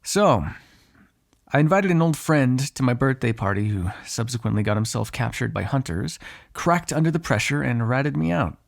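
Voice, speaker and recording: A man voices a snarky male vampire in an ASMR roleplay monologue. His mid-pitched voice has plenty of gravelly fry and swings animatedly as he grumbles. He comes across as sardonic and exasperated, talking as if to one companion. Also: lively intonation, normal speed. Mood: wry, resigned.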